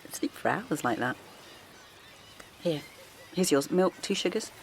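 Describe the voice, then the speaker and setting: An actress playing a kindly middle-aged mother of two grown sons, chatty and reassuring, with a quiet worried edge. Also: deep voice, lively intonation, measured pace, slight background noise. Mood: warm reassuring. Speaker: campaigner. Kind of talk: naturalistic audio drama acting. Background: faint outdoor seaside ambience.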